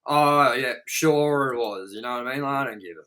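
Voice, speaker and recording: A man's voice: mid-range voice, brisk, sharp tone, low-quality recording. A man chatting casually as co-host of a film review show, blunt and sweary, repeating words for emphasis.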